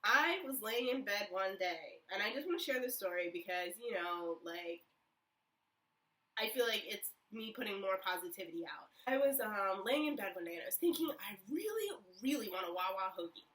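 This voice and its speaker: A woman's voice: mid-range voice, expressive, moderate pace, fairly bright tone, far from the mic, low-quality recording. A young woman telling a story in a casual vlog, chatty and rambling with lots of fillers. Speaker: vlogger.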